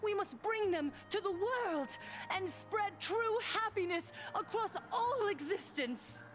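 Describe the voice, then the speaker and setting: An actress playing a fervent female cult preacher of happiness in a video game, solemn and theatrical, with breathy whispered phrase endings. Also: very high-pitched, expressive, takes it slowly, a bit bright, soft background music, full character voice. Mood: fervent, exalted. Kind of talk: theatrical game voice acting, sermon-like speech.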